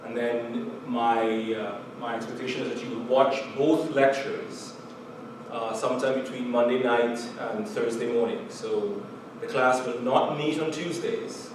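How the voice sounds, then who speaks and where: A middle-aged man with a Trinidadian accent speaks slowly and earnestly as a professor, often stopping on "uh" and "um" and going back over his words. He sounds distant from the mic, in a room with strong echo and a clear layer of background noise. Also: medium pitch, fairly even intonation. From Trinidad and Tobago. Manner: measured, earnest, with frequent "uh" and "um" and repeated words. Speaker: chemistry professor. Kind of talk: recorded university lecture.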